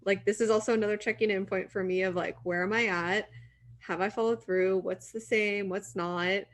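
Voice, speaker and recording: A woman's voice: medium pitch, steady tone, normal speed. A woman in her late twenties co-hosting a podcast, talking in an informal, encouraging way with plenty of "like". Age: late 20s. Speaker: podcast co-host interviewing her co-host. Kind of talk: casual podcast chat.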